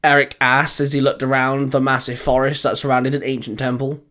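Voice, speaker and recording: A man's voice: mid-range voice, level tone, a little fast, bright, forceful tone. A man narrating a scripted fan fiction adventure story. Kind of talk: scripted narration with character dialogue.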